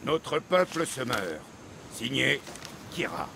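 An actor playing an excitable old Greek sailor and storyteller in a theatrical, expansive video game style. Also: male voice, mid-range voice, slow pace, vocal fry, background noise, heavily acted. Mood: dramatic reading. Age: older. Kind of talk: dramatic video game acting.

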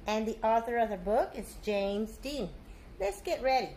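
High-voiced middle-aged woman reading to children. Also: normal speed, slight background noise. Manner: animated, simple phrasing addressed to children. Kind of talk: expressive picture-book read-aloud for young children. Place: living room at home, indoors.

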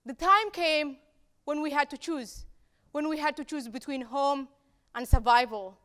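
Young woman from Somalia, high voice, stage speech, repeats phrases, deliberate pauses. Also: bright, forceful tone. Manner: rhetorical repetition, deliberate pauses. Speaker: speaker at a campus talk event. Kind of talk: prepared public speech. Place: dark stage in an auditorium.

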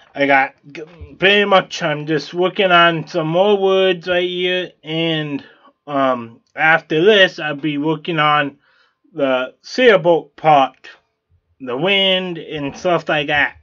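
Slow-talking man narrating his drawing. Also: fairly high pitch, pushed and bright, dull audio. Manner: plain, repetitive, with fillers and restarts. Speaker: t-shirt artist, channel host.

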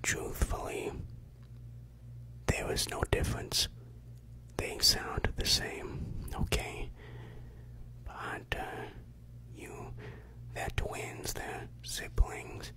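Slow-whispering young man, ASMR. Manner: confiding, coaxing, playful. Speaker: ASMR creator. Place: bedroom indoors. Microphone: large desktop microphone, very close.

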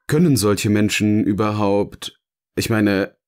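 A man's voice: on the low side, slow, darkish tone, smooth. A man acting a teasing, philosophical partner in scripted audio-novel dialogue, with a theatrical, questioning manner. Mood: musing. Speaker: voice actor, narrator.

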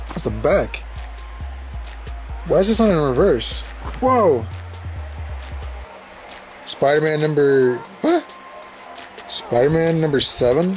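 A young man casually rambling and swearing as he opens old comic books at home, talking into a handheld camera. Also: medium pitch. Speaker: podcast host opening comics. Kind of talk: casual unboxing commentary. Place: indoors at home, filming comics on a bed.

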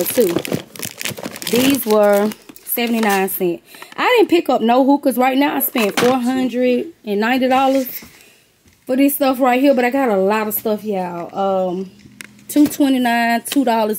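A woman's voice: a little high, moderate pace, noisy background. A middle-aged woman chatting casually to viewers as she shows off products she bought, calling them y'all and honey, filmed on a handheld phone indoors. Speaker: vlogger and gift basket maker. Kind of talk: casual haul vlog. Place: warehouse-style room with boxes, indoors. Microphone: handheld phone camera.

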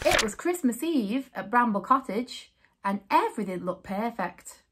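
A woman's voice: fairly high pitch, very animated, normal speed, fairly bright tone, husky. A woman in her thirties hosting storytime, reading aloud to young children in a sing-song way.

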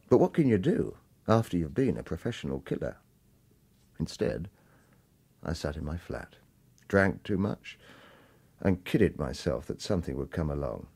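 An actor playing a sardonic, world-weary retired government assassin in a radio drama, dry and gruff. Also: male voice, deep voice, darkish tone, exaggerated voice. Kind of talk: radio drama acting, first-person narration and dialogue.

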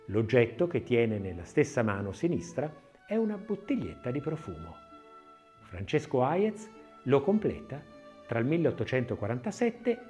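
A man narrating a scripted audiobook in an expressive, literary, dramatic reading style. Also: fairly high pitch.

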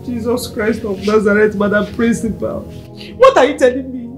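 A woman's voice: fairly high pitch, moderate pace, soft background music, close to an everyday voice. An actress playing a frantic mother pleading for her missing daughter, crying out to God. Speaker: worried mother. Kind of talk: film drama acting.

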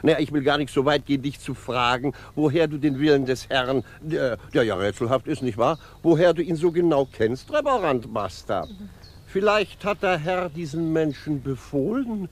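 An actor playing a wry, defiant old retired judge in a radio drama, musing ironically. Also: male voice, a little high, lively intonation, normal speed. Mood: ironic. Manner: musing, ironic, chuckling rhetorical questions. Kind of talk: 1950s German radio drama acting. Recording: noisy 1950s radio recording.

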